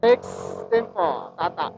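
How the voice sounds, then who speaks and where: A man's voice: sits high, animated, takes it slowly, music in the background. A Filipino man with a Bisaya accent rambles casually as a motorcycle vlogger, repeating himself and checking in with short question tags. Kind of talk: casual rambling chat while riding. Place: outdoors on a motorcycle at night in traffic. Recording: muffled helmet intercom with road noise. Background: faint vehicle and road noise.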